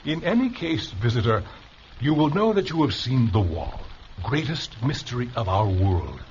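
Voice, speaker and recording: An actor playing a talkative, opinionated old philosopher in a radio drama, musing theatrically with rhetorical questions. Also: male voice, mid-range voice, very animated, moderate pace, darkish tone, a little hiss or hum, lightly acted. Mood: wry, assertive. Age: older. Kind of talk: vintage-style radio drama acting. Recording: dull lo-fi radio drama recording. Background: music.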